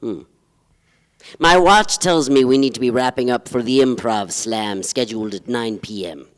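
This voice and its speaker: An actor playing a pompous, wistful poetry-reading emcee, speaking in a solemn, florid way on a theatre stage. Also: male voice, medium pitch, moderate pace, natural voice. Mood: pompous, courtly. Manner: pompous, ponderous. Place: theatre stage, live performance. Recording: reverberant live theatre hall.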